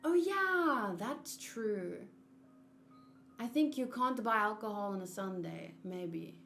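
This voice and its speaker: A woman's voice: mid-range voice, expressive, takes it slowly, fairly bright tone, a little hiss or hum. A woman with a South African accent chatting casually on a game livestream from home. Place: room at home used for streaming.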